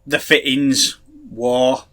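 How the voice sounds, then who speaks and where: A man's voice: medium pitch, level tone, drawn-out words, a bit bright. A middle-aged man with a Yorkshire accent, co-hosting a casual podcast and chatting wryly, with ranting asides. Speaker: podcast co-host. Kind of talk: casual banter.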